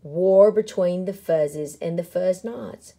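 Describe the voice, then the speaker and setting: A woman reading a children's picture book aloud in a dramatic, expressive way, with deliberate and playful emphasis. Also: fairly low pitch. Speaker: story reader.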